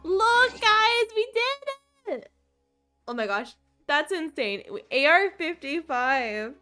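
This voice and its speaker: A woman's voice: very high voice, very animated, takes it slowly, pushed and bright. A young woman game streamer chatting casually and informally on a livestream, with a bubbly manner. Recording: game music behind. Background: game music.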